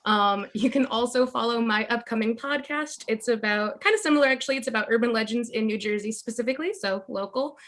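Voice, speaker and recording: A woman's voice: a little high, a little fast, hardly any pauses, a bit bright. A woman chatting casually as a panelist on an online group call. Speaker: discussion panelist, podcaster. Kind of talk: casual chat.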